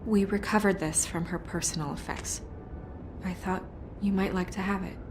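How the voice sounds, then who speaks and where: An actress playing a caring, composed woman who helps an investigation in a video game, gentle and diplomatic in manner. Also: mid-range voice, fairly even intonation, normal speed, music in the background, lightly acted. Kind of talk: dramatic video game acting.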